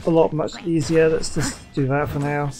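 A man's voice: a little high, fairly even intonation, moderate pace. A man giving casual gameplay commentary, hesitant and talking half to himself. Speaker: gaming YouTuber. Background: game music.

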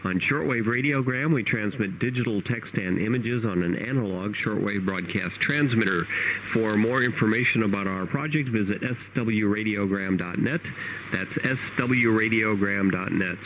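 American man, lowish voice, scripted radio presenter, measured delivery, heavy shortwave static. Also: lo-fi sound. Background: static noise.

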